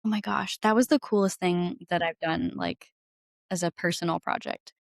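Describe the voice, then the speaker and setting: Woman podcast guest, lowish voice, chatty and gushing, really dry close sound. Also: steady tone, normal speed, gravelly, no room sound. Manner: enthusiastic, effusive. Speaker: podcast guest, singer-songwriter and audio drama creator. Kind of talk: casual interview chat.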